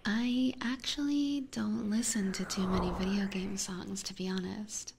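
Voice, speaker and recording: A woman hosting an ASMR livestream, chatting with viewers in a soft, breathy whisper close to a binaural microphone. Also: medium pitch, fairly even intonation, measured pace, noisy background. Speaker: livestream host.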